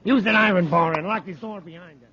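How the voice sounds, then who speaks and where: An actor playing a bossy, impatient villain ringleader in a 1950s space adventure radio drama, with a commanding, snappish manner. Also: male voice, sits high, quick pace. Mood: urgent, snappish. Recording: old muffled radio recording, heavy noise.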